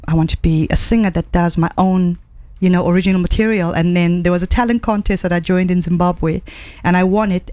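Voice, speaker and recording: A woman's voice: on the low side, smooth, no room sound. A middle-aged woman from Zambia, a singer, chatting as a guest in a radio studio and telling stories with enthusiasm. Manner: warm, enthusiastic storytelling with fillers. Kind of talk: casual interview chat. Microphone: studio microphone.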